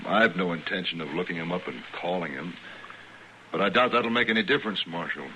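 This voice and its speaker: Low, crisp former army captain. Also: male voice, brisk, pushed and bright. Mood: resolute. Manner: formal, precise, clipped. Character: proud, educated former army captain, coolly resolute. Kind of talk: old-time radio western acting. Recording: dull, hissy old radio recording.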